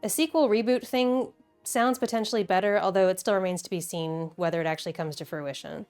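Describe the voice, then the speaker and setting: Expressive woman over background music. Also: medium pitch, fairly quick, clear, resonant tone. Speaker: video host. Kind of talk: casual video commentary with game play reactions.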